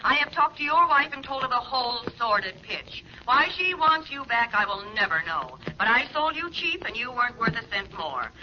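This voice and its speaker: A woman's voice: on the high side, sing-song, moderate pace, hardly any pauses, a little hiss or hum. Brassy, sassy 1940s radio young woman; arch slangy delivery on a dull vintage recording. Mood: scornful. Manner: wordy, sardonic, mock-formal slang. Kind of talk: 1940s radio drama acting.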